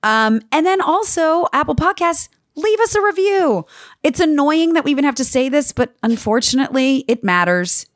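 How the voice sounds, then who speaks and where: Lively chatty woman podcast host. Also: sits high, normal speed. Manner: animated, jokey, trailing restarts. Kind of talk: casual comedic chat.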